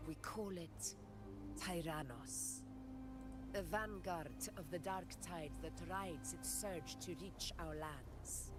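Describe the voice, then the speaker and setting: Stern woman commander, video game drama, unhurried, lowish voice, solemn, formal, music behind. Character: stern, composed woman commander of monster hunters.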